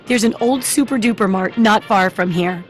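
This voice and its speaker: A woman's voice: medium pitch, normal speed, faint music behind, close to an everyday voice. A woman voicing a cheerful, eccentric shopkeeper and amateur researcher in a video game, with a perky, chatty manner. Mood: chirpy, eager. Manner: perky, chatty, singsong questioning tags.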